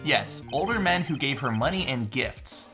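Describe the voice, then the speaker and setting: A man's voice: medium pitch, lively intonation, moderate pace, music in the background, natural voice. Man acting a smug, formal prosecutor. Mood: smug, accusing. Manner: formal, pointed, condescending. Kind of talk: video game fan dub.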